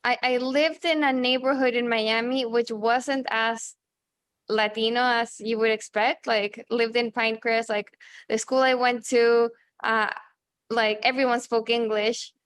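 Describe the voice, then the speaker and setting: A woman in her thirties from Chile, a native Spanish speaker, talking casually as an interview guest, halting with many fillers and restarts. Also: on the high side, steady tone, a bit bright, clean tone, no echo, studio quality. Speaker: guest, journalist and author.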